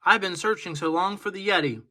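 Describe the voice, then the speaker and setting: A man voicing an eager, polite young boy adventurer in an excitable storybook manner. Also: sits high, fairly quick. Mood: hopeful. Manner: exclaiming, excitable.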